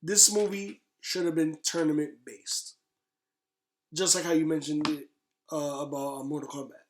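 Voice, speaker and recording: A man in his thirties with a fairly high voice, talking in a very roomy, echoey space. He gives casual, opinionated movie commentary as a podcast co-host, repeating himself to drive points home. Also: steady tone, moderate pace. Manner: emphatic, repeats phrases for stress.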